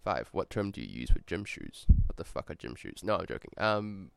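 Gravelly deep-voiced New Zealand guy. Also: big pitch swings, brisk, no room sound. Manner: rambling, swearing, self-correcting. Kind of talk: casual accent-tag vlog.

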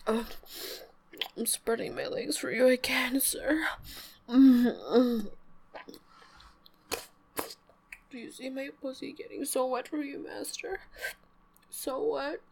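A young woman voicing an eager, submissive young female elf in an intimate audio roleplay, speaking in a breathy, pleading voice that often drops to a whisper. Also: sits high, expressive, takes it slowly, long pauses, darkish tone, a little hiss or hum, full character voice, low-quality recording. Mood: breathless pleading.

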